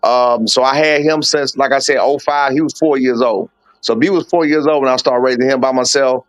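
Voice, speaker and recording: A man's voice: medium pitch, steady tone, fairly quick, dry recording. Guy in his 40s telling his story straight up, swears a lot, super dry sound. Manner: candid, blunt storytelling with slang and swearing. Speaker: boxing coach and father of a fighter. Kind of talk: casual podcast interview.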